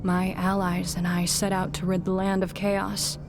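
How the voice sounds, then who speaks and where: An actress playing a solemn, disillusioned woman warrior in a video game cutscene, grave and measured. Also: fairly low pitch, completely flat delivery, music in the background, lightly acted. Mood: sorrowful, resigned.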